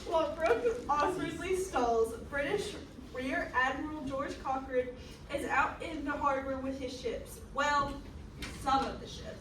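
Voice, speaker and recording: High bright woman narrating funny history script, theatrical, roomy far mic. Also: a little slow. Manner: theatrical, sardonic asides. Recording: roomy, distant mic, faint noise.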